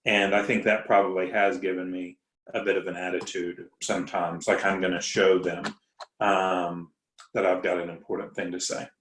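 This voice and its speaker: Low-voiced male writer, reflective and measured, recorded in a roomy echo. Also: little pitch movement, a bit bright. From Southern US. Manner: thoughtful, hesitant, with pauses. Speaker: writer, academic. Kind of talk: casual conversation.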